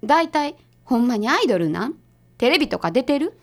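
A woman's voice: high voice, very animated, exaggerated voice. A woman playing a blunt, skeptical detective's assistant with a Kansai accent in a comedic radio drama. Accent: Kansai Japanese. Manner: blunt, brisk retorts.

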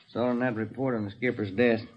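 A man's voice: medium pitch, fairly even intonation, fast talker, close to an everyday voice. Muffled, faintly hissy radio drama, actor playing weary male detective, dry, slangy, resigned. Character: weary, wisecracking male police detective partner. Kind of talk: 1950s radio police drama acting. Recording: muffled, faintly noisy old radio recording.

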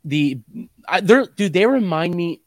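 Young american guy chatting sports on a podcast, voice a bit high, repeats stuff for emphasis, really dry close audio. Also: expressive, a little slow, darkish tone, dry recording. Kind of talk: casual sports chat.

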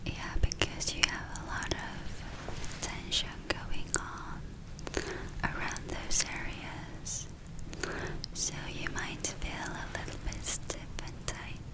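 A woman speaking in a breathy whisper, gently soothing and unhurried, as in an ASMR spa roleplay. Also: rough recording. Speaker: ASMR performer playing a witch spa therapist.

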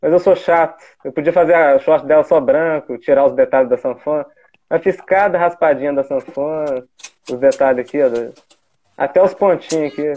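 A man talks through his digital artwork, walking through each small detail with eager, meticulous care. His voice sits a little high for a man. It comes over a thin, phone-like line, as if on a video call. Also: normal speed. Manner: eager, detail-focused explaining. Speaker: digital artist showing his drawings. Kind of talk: casual chat.

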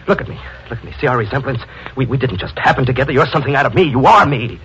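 An actor playing a guilt-ridden young husband in a 1940s radio drama, stammering and rising into panic. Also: male voice, mid-range voice, lively intonation, rapid-fire, fairly bright tone, a little hiss or hum, natural voice. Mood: frantic. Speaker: Tate, the narrator. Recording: lo-fi old radio recording.

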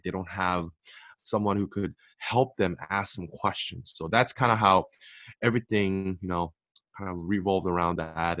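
Earnest low-voiced young man, dry sound. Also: fairly bright tone, dry recording. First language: Korean. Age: young adult. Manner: earnest, repeats words and restarts when emphatic. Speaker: author and guest. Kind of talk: faith-based podcast interview.

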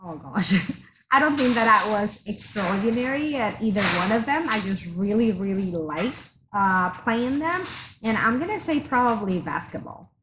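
A woman's voice: mid-range voice, animated, moderate pace. Mexico-born woman, noisy interview recording. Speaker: teacher, interview guest. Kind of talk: casual interview. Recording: noisy background hiss.

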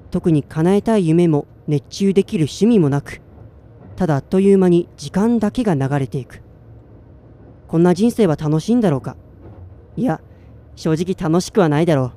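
A man's voice: high voice, mellow. An actor playing a listless, self-doubting man in his twenties in a radio drama, plain-spoken in narration and dialogue. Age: 20s.

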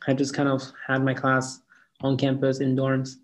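A young man of about twenty, answering interview questions in a halting way, with lots of "like" and "um". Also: mid-range voice, monotone. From Cameroon. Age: around 20. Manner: halting, hedging with "like" and "um". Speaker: student interviewee. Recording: dull lo-fi recording.

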